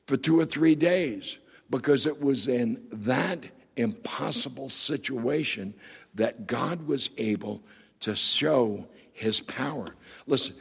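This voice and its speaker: A middle-aged male pastor preaches with a raspy, gravelly voice and a husky edge. He drives his sermon forward with emphatic rhetorical questions to the congregation. His voice echoes with a long reverb through a church sanctuary. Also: mid-range voice.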